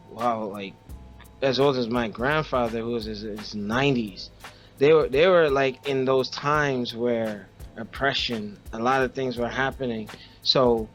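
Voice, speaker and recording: A man talks casually as a podcast co-host, at a middling pitch. He tells personal stories in a halting way, doubling back on words to stress them. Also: a bit bright, faint music behind. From Haiti.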